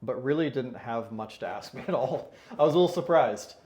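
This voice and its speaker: A man's voice: medium pitch, expressive, a little fast, a little mellow. Man chatting hesitantly in an echoey room. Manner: hesitant, many fillers and restarts. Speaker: interviewed client. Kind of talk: casual interview answers. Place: consulting firm office.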